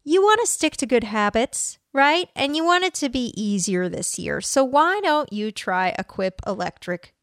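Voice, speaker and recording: A woman's voice: on the high side, lively intonation, moderate pace, few pauses, a bit bright, no room sound, studio quality. A woman co-hosting a podcast in a casual, wry and playful way. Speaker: podcast host. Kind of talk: casual co-hosting chat and reading.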